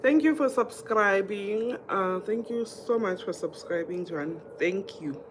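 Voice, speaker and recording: A woman's voice: mid-range voice, measured pace, background noise. A woman from South Africa with a South African accent, hosting a casual livestream, chatting directly to viewers and often repeating herself. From Mpumalanga, South Africa. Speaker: livestream host. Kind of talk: casual live chat, reading comments and telling anecdotes.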